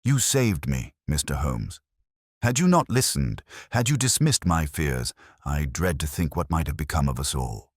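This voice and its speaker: A man's voice: rumbling low, big pitch swings, moderate pace, breathy edge, dry recording, studio quality, exaggerated voice. A man voicing a shaken, grateful client in a mystery audiobook, earnest and tremulous.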